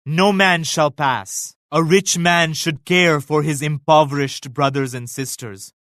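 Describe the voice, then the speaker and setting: Regal game emperor, slightly high-pitched, pious, solemn, formal delivery, very dry recording. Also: male voice, steady tone, a little slow, a bit bright, dry recording. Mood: defiant, solemn. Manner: formal, grave, pious declamation. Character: devout, solemn, regal Mughal emperor, grown man. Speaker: video game voice actor. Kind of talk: scripted game character lines.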